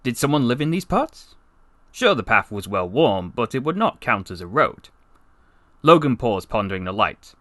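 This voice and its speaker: A man narrating a fantasy story in an expressive, theatrical audiobook style. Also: mid-range voice, normal speed, no room sound. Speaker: voice actor reading a story for a portfolio.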